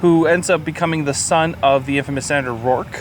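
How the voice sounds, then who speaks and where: A man co-hosting a podcast, casually reviewing a movie in a crude, joking, profane way. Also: quick pace. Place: outdoors or in a car near a highway. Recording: outdoor traffic noise from nearby highway. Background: highway traffic.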